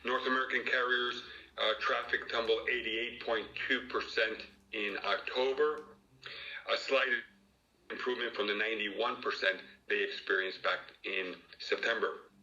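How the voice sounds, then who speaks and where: Male executive for a formal media briefing; low-pitched yet very sharp-toned, measured and halting, reading from prepared notes. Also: fairly even intonation. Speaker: airline industry executive giving a press briefing. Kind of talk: formal prepared presentation read aloud. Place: virtual press conference call. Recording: dull, roomy conference call audio.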